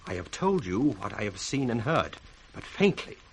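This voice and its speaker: Sly British schemer, wildly swooping delivery. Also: male voice, lowish voice, very animated, normal speed, full character voice, rough recording. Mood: feigned concern. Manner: theatrical, sardonic, crisply declaimed. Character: scheming, mocking bastard son, sly and ambitious villain. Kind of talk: 1960s radio Shakespeare acting. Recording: faintly hissy 1960s radio recording.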